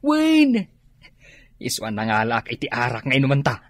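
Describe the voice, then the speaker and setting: A man's voice: mid-range voice, huge pitch swings, normal speed, dry recording. A man acting a merry drinking buddy who eggs his friend on, drawling and exclaiming, in an Ilocano-accented radio comedy drama. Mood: jolly. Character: merry, egging-on drinking buddy of the rowdy neighbour.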